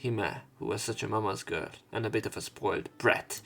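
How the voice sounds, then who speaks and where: A man's voice: lowish voice, brisk, vocal fry, a bit bright. A man reading a visual novel aloud as a let's play host, adding informal, sweary commentary.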